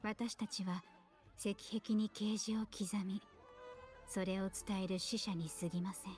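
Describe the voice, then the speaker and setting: A woman acting solemn, quick-spoken priestess seer. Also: mid-range voice, fairly even intonation, darkish tone, natural voice. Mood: solemn, prophetic. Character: solemn, composed priestess seer who recites prophecy. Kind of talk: Japanese video game voice acting. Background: video game music.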